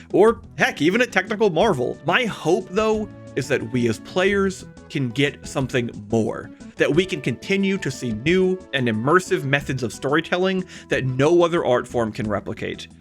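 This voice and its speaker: Higher-pitched man narrating over music. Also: fairly bright tone. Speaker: video essay host. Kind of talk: scripted video essay narration. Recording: music behind the voice. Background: background music.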